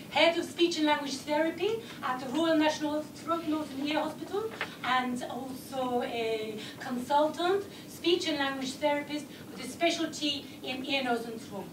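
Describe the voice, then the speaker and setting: A high-pitched woman talks earnestly about her work, stumbling and repeating words as she gathers her thoughts. She sounds far from the mic, in an echoey room with steady background noise. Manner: earnest, hesitant, repeats words while searching. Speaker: head speech and language therapist. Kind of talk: recorded interview.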